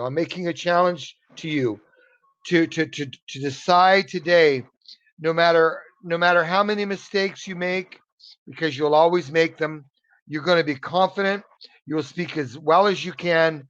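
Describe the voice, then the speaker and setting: A man's voice: on the high side, a bit bright. An American man tutoring English in an online lesson, talking earnestly and encouragingly, often repeating words as he searches for the next one. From United States. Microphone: video call. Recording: dull, muffled video call audio.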